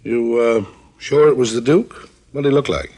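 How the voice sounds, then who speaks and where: A man's voice: mid-range voice, normal speed, dark tone, natural voice. An American man acting a friendly, matter-of-fact police detective in an old radio drama, on a noisy vintage recording. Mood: businesslike.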